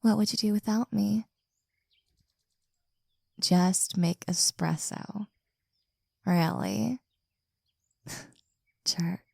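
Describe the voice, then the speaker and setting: A woman performing an intimate scripted audio roleplay, talking softly and teasingly and often dropping into a whisper, close to the mic. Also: medium pitch, extremely slow, gravelly, pauses often, slightly rough, dry recording.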